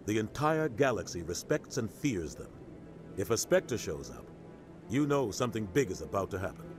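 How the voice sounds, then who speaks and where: Low-voiced, seasoned military officer. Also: male voice, big pitch swings, normal speed, music in the background. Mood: grave, measured. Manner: matter-of-fact, authoritative explaining. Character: seasoned, pragmatic male military officer and political advisor. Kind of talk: measured video game dialogue.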